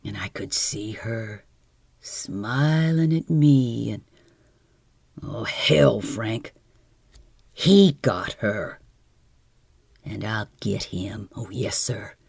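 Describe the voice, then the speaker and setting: A woman's voice: extremely low pitch, animated, drawn-out words, gravelly, pauses often, a little mellow, husky, no room sound, studio quality, pitched below the performer's own voice, full character voice. Woman voicing a mild-drawling grim young outlaw. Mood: brooding. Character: soft-spoken young male outlaw, cold and grim.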